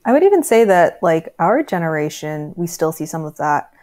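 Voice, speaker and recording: A woman talking as a guest on a video call, animated and joking. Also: sits low, normal speed. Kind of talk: casual chat.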